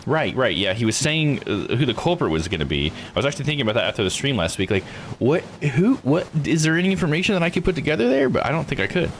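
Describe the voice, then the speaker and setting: A man's voice: medium pitch, very animated, fast talker, slight background noise. A man giving casual live game commentary, joking, slangy and thinking aloud. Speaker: video game streamer.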